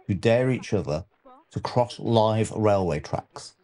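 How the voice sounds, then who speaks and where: A man narrating a scripted countdown documentary with a measured, dramatic delivery. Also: fairly low pitch, expressive, mellow. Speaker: narrator and channel host.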